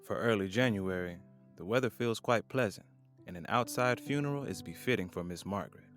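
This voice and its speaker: A man narrating an audio drama in a measured, solemn way. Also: lowish voice, a bit bright, faint music behind.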